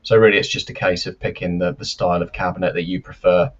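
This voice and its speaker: A man giving careful, explanatory interview answers as a company expert, with measured and hesitant phrasing, over lo-fi live-stream audio. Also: low voice, flat delivery. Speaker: loudspeaker company representative, interview guest.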